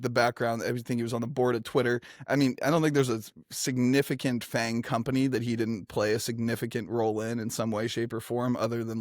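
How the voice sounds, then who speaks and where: A man leads a casual panel chat, upbeat and quick to hype what's coming, leaning on filler words as he goes. His voice sits at an ordinary middle pitch. The sound is dry and close. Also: no echo. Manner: energetic, filler-heavy, enthusiastic. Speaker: moderator of fintech panel.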